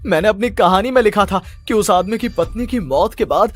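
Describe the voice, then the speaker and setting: A man's voice: sits high, a little fast, pitched above the performer's own voice. A man voicing an anxious, pleading young husband in a dramatized horror cartoon story, agitated and prone to stammer. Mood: panicked, frightened. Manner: agitated, stammering when frightened.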